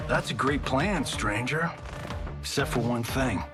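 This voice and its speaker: A man plays a flippant male movie star in a game cutscene. He wisecracks with slow, showy delivery and big swings in pitch, over clear music. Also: expressive, close to an everyday voice. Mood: cocky, defiant. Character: cocky, flippant Hollywood action movie star. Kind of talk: video game cutscene acting.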